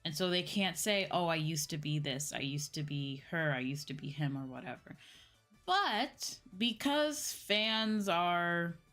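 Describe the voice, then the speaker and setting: Female streamer, low register, informal and explanatory, talking to chat over faint music. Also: expressive, a little slow, fairly bright tone. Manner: informal, explanatory, often repeats points and checks with "right?". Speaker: streamer, VTuber host. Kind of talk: casual livestream chat answering viewers.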